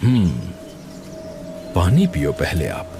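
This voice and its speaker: A man playing a kindly wandering holy man in an animated story, measured, solemn and sage-like. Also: low voice, heavily acted. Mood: calm, knowing. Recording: music under the voice.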